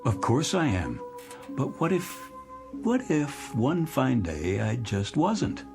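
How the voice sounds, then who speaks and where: An American actor playing a middle-aged, disillusioned executive in a radio drama, halting and repeating himself as he speaks. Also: medium pitch, big pitch swings, gravelly, heavily acted. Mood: distracted, pensive. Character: weary, disillusioned married male executive, dreamy and self-deprecating. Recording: music bed under the dialogue.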